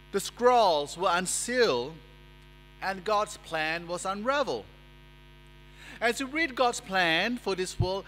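A man in his forties preaching a sermon at a lectern in a church, speaking in a measured, emphatic way and gesturing as he talks. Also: high-pitched, bright, forceful tone. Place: church sanctuary, indoors. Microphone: lectern microphone. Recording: reverberant church hall with mains hum.

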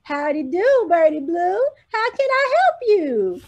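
A woman's voice: extremely high pitch, sing-song, slow pace, dull audio, pitched above the performer's own voice, heavily acted. A woman voicing a friendly, folksy male rabbit in a bouncy, cheerful way.

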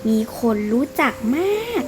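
A woman's voice: measured pace, over music. A very young female storyteller narrating an improvised role-play drama over a game. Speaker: storyteller voicing her own short game drama.

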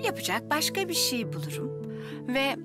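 A kindly older grandmother talks to little children in an expressive, soothing way. Her voice is high and swings widely in pitch, as in a dubbed children's drama, with music behind her. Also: normal speed. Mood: reassuring. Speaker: grandmother character.

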